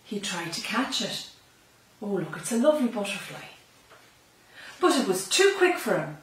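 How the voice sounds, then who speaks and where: A woman's voice: mid-range voice, normal speed. Echoey Irish woman reading a children's story. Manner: expressive, with chatty asides to young listeners. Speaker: librarian reading a children's picture book. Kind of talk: storybook read-aloud for young children. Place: small library room lined with bookshelves. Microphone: camera a few metres away. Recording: echoey room, slightly distant mic.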